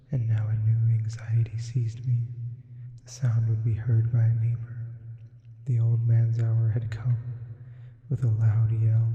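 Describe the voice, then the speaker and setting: A man voicing a sinister sleep paralysis demon, reading a Gothic horror story as hushed, deliberate ASMR with whispers and added reverb. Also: medium pitch. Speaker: ASMR narrator. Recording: muffled, heavy long reverb.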